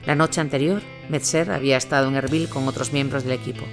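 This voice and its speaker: Man, mid-pitched voice, scripted reportage narration, clear musical backing. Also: steady tone, fast. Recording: music bed under voice.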